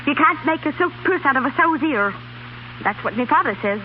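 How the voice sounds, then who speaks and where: High-voiced feisty young Irish girl. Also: animated, fairly quick. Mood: stubborn, softening. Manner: blunt, unpolished dialect speech. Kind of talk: 1930s radio drama acting. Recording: dull, hissy 1930s radio recording.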